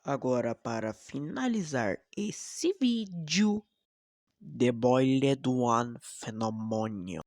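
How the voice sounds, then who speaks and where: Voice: medium pitch, expressive, slow, a little mellow, no room sound, studio quality. A Brazilian Portuguese speaker hosting a video essay, with dramatic, emphatic commentary that often poses rhetorical questions. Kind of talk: enthusiastic video commentary with scripted narration.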